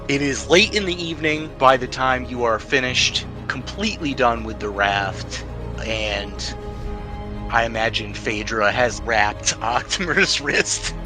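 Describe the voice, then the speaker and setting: A man acting as game master, narrating and bantering casually in a wry, deadpan way. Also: medium pitch. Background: music.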